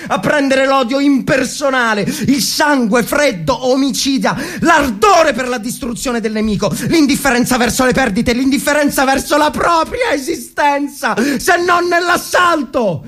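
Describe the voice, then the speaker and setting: An actor playing a tormented, fervent Italian army officer in the trenches of the First World War, in a theatrical dramatic reading with halting repetitions. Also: male voice, very high-pitched. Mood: frenzied exaltation. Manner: theatrical, halting, repeating phrases, swinging from hushed to frenzied. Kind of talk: dramatic literary reading as monologue.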